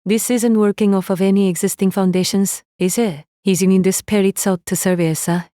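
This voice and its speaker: A woman's voice: mid-range voice, fairly even intonation, brisk, mellow, no room sound, clean studio sound, lightly acted. A woman voicing a cool, self-assured female mage, musing and sardonic in a nonchalant way. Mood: musing, wry.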